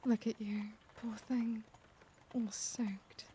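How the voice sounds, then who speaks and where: A woman voicing an elegant, caring vampire lady in a soft-spoken ASMR roleplay, speaking gently with formal, old-world courtesy. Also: a little high, level tone, takes it slowly, a little hiss or hum. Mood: gentle, welcoming. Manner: genteel, gentle, doting, formal wording.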